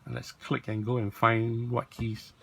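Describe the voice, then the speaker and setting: A man with a raspy voice, talking casually and rambling as he shows off a toy in a video. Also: mid-range voice, little pitch movement, unhurried, pushed and bright. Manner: rambling, self-correcting, lots of fillers. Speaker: toy blogger and vlog host.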